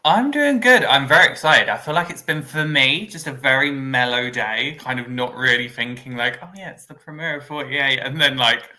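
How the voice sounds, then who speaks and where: A man, co-hosting a podcast in excitable casual chat full of "like". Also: mid-range voice, normal speed, hardly any pauses. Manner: excitable, filler-heavy, lots of "like". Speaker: podcast co-host.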